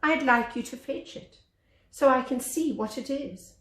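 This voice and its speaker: A woman's voice: sits high, unhurried, fairly bright tone, echoey room, voice pushed up. A woman voicing a vain, haughty male animal in a children's storybook, pompous, condescending and impatient. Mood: commanding. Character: vain, haughty, self-important male animal with fine coat.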